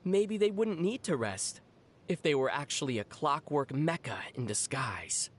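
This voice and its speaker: Young, wry, lively traveler. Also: mid-range voice, a little slow. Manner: dry, knowing, teasing. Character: calm, wry, teasing young traveler adventurer. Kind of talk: video game character voice acting.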